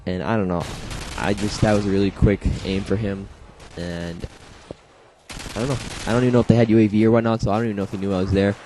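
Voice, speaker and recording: A young man, slightly hoarse from a congested throat, rambling casually through his own match footage with many fillers and self-deprecating asides. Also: lowish voice, fairly even intonation, fast talker, dark tone, slight background noise. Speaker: gameplay commentator. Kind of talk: casual gameplay commentary.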